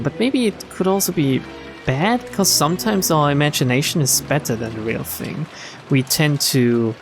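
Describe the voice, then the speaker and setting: Guy doing a let's play, highish voice that swings around, reads the story then reacts. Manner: expressive reactions, casual swearing. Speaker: game streamer. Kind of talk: let's-play reading of a visual novel with casual commentary.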